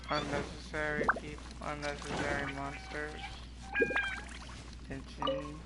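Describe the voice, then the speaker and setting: A man's voice: on the high side, completely flat delivery, measured pace. A male streamer giving casual gameplay commentary in mumbled, drowsy asides. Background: loud game music.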